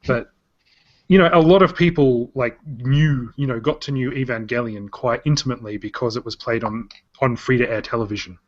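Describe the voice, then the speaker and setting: A man's voice: mid-range voice, normal speed. A man in his thirties with an Australian accent, chatting on a podcast in a rambling way that often restarts sentences. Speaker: podcast co-host. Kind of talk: casual chat. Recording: dull lo-fi podcast audio.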